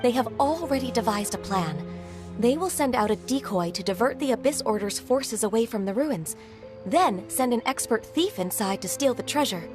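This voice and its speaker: A woman playing a gentle, earnest and dutiful young female secretary in an anime-style game, speaking politely and carefully. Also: fairly high pitch, lively intonation, moderate pace, natural voice. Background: game music.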